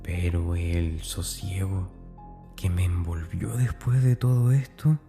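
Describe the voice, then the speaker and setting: A man playing a tormented, brooding young man in a confessional horror audio drama monologue, intense and breathy. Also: sits low, slow pace, dark tone, soft background music. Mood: eerily calm. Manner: intense, breathy, often dropping toward a whisper.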